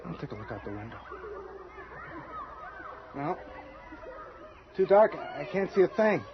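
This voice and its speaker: A man's voice: a little high, very animated, unhurried, very noisy. An actor playing a tense, irritable novelist and overbearing husband in an old-time radio drama, agitated and hesitant in his speech. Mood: irritated, uneasy. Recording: dull lo-fi radio drama recording.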